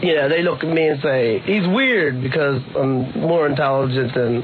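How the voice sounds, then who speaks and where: A man chatting casually and a little defensively as a radio guest, halting and rambling like a slacker. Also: fairly high pitch, crowd noise. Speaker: aspiring comedian, radio guest. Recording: tinny phone-like sound, noisy radio tape.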